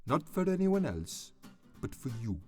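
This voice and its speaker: A man playing a cheery, affectionate sun in a family radio play, excitable and chatty as he rambles along. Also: on the high side, a little slow, faint music behind. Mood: tender.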